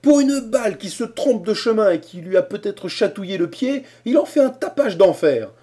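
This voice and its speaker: A man's voice: a little high, sing-song, moderate pace, mellow, pitched above the performer's own voice. A man voicing a clumsy young Englishman who speaks in exasperation. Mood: exasperated.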